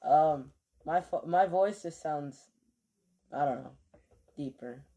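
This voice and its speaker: A man's voice: on the high side, slow, mellow. A man chatting casually to his stream while he plays, rambling with lots of fillers and offhand swearing, keyboard clicks behind him. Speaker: game streamer.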